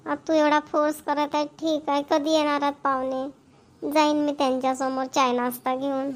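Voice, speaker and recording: A woman's voice: sits high, flat delivery, moderate pace, close to an everyday voice. A woman voicing a carefree, stubborn young college student, with a playful, protesting manner.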